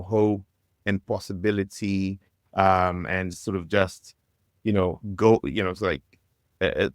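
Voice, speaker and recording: A man from Africa hosting a podcast interview, enthusiastic and halting, with many fillers and restarts. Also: fairly low pitch, no room sound, studio quality. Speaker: podcast host. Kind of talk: casual interview chat.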